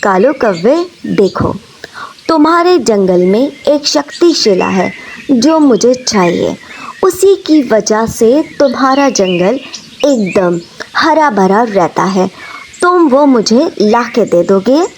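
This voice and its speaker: A woman's voice: a little high, very animated, slow pace, a little mellow, a little hiss or hum, exaggerated voice. A woman voicing a persuasive, possessive mermaid in a cartoon story, speaking insistently. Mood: coaxing. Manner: coaxing, insistent.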